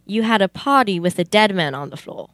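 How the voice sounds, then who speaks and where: A woman's voice: mid-range voice, expressive, brisk, a bit bright, close to an everyday voice. A young woman playing a polite, methodical male police detective in an amateur radio play. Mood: formal, incredulous. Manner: formal, questioning. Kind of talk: amateur old-time radio theater acting.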